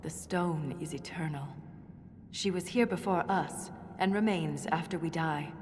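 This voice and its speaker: An actress playing an earnest dwarven scholar in a video game, speaking in a measured, scholarly way. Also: female voice, sits low, a little mellow, a little hiss or hum. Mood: reverent. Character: earnest female dwarven scholar exploring underground ruins. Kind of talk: video game cutscene acting. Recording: long cavernous reverb, music underneath.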